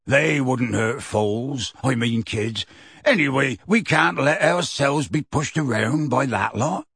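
A man voicing a gruff, defiant but big-hearted half-giant gamekeeper in an audiobook, with a gravelly, husky voice and blunt rustic speech. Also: medium pitch, expressive, a little slow, fairly bright tone, dry recording, studio quality, exaggerated voice. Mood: dismissive.

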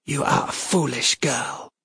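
A cold sea goddess, as played by a male narrator in an audiobook. The voice is lifted and husky, issuing measured commands with cutting menace. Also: fairly high pitch, steady tone, fairly bright tone, no echo, pitched above the performer's own voice, exaggerated voice. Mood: contemptuous.

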